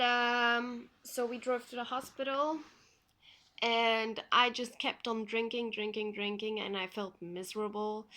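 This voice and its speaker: A young Belgian woman speaks English as a second language, telling her own story slowly and without hurry. Her voice is bright and clear, and she fills the gaps with soft ums as she goes. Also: mid-range voice. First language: not English. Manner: unhurried, plain retelling with frequent ums. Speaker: vlogger. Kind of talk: personal story vlog.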